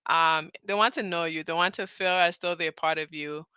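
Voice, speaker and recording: A woman from Cameroon hosts a live audio chat in a loose, rambling way and laughs easily. Her voice is bright and smooth, and the sound is close and dry. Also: fairly low pitch, steady tone, moderate pace, no echo. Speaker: host.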